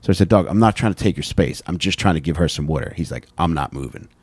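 An American man co-hosting a podcast, chatting casually and comedically with animated, sweary storytelling into a close handheld mic. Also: low voice, fast, husky, dry recording. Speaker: podcast co-host. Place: small indoor podcast room with couches. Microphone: handheld microphone held close.

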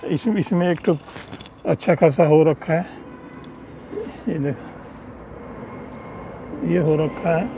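Man, chatty gardener, a bit high-pitched, outdoor phone audio with faint city hum. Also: takes it slowly, pauses often, warm tone, poor audio. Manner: chatty, pointing things out. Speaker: home gardener giving a tour. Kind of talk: casual walkthrough narration. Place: rooftop terrace garden, outdoors. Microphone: handheld phone camera.

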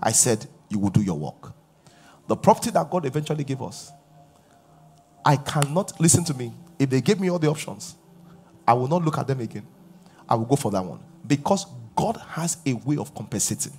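A pastor in his forties preaching a sermon on a large church stage before a live audience, his voice rising loud on key points as he questions the crowd. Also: mid-range voice, sing-song, a little fast, faint music behind.

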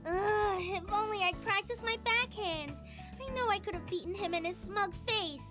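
Very high-pitched, girlish young tennis player. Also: moderate pace. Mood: sulky, frustrated. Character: competitive, sulky young female college tennis player. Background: game music.

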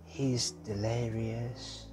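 A man's voice: mid-range voice, level tone, drawn-out words, breathy edge, soft background music, pitched above the performer's own voice, full character voice, low-quality recording. A man voicing a small, tense young girl. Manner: soft, hesitant, murmuring. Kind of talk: audiobook reading.

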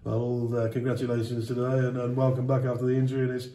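Quick-talking male interviewer, echoey room. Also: medium pitch, monotone, warm tone. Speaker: club media interviewer. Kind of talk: post-match interview questions.

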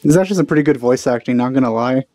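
A man's voice: medium pitch, rapid-fire, a little mellow, faint music behind. A man hosting a let's play, commenting casually on the game as he plays.

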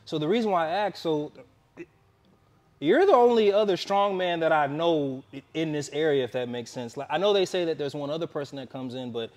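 A young man interviewing a guest in a relaxed, halting way, full of fillers and restarts. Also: fairly high pitch. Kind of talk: casual interview.

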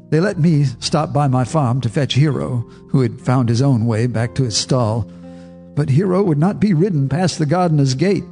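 A man's voice: medium pitch, mellow. Grave male radio drama narrator. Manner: measured, grave storytelling. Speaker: narrator, first-person character. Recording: radio drama with faint music underneath.

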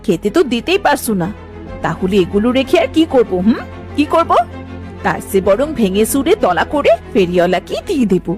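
A woman's voice: a little high, big pitch swings, fairly quick, music in the background. A woman playing a scolding, sarcastic village wife who is never satisfied, in a cartoon story. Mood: angry, scornful. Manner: nagging, sarcastic. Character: scolding, shrewish village wife, always dissatisfied. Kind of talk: cartoon voice acting.

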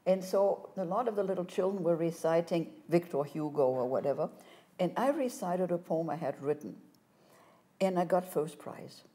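An older woman telling her life story as a guest in a studio interview, animated and gesturing as she talks, on a lapel mic. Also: fairly low pitch. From France. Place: studio set, seated in armchair.

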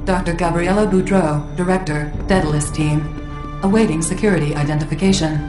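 Game military computer, woman performer: calm, low-pitched female announcer, emotionless and clipped, with clear echo. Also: fairly quick, music in the background, lightly acted. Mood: impassive. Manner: clipped, emotionless, formal status reports. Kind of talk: scripted game cutscene announcement.

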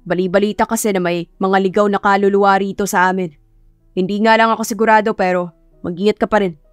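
A woman's voice: mid-range voice, steady tone, fast talker, dry recording, clean studio sound, soft background music, close to an everyday voice. A woman voicing a stern, grumpy and aloof male professor in an audio love story, speaking curtly. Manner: curt, gruff, unbothered.

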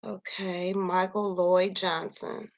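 A woman with a low, gravelly voice that sounds like it is going hoarse talks slowly and softly. She reads from a list and muses aloud into a computer mic, sighing as she goes. The sound is dry and close, with no room in it. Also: level tone, no echo. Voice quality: gravelly, slightly husky voice. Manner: slow, soft-spoken, sighing and musing aloud. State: losing her voice. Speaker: vlogger reading a missing persons list. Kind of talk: casual screen-share commentary. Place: at home near Kansas City.

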